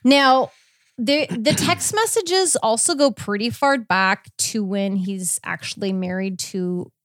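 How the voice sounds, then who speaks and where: A woman's voice: medium pitch, takes it slowly, no echo, studio quality. A woman podcast host casually recapping a story in a chatty, informal way, with quoted asides. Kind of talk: casual conversational recap of a court case.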